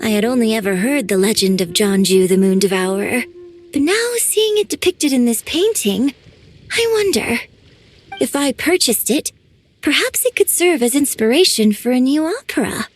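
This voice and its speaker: An actress voicing a graceful young opera writer in a video game, refined, polite and modest. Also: female voice, on the high side, animated, normal speed, close to an everyday voice. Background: faint game music.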